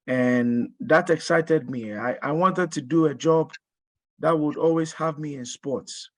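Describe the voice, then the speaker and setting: A man's voice: mid-range voice, dry recording. A man in his thirties, born in Ghana and working as a strength and conditioning coach, gives a webinar presentation in a hesitant way, full of fillers and restarts.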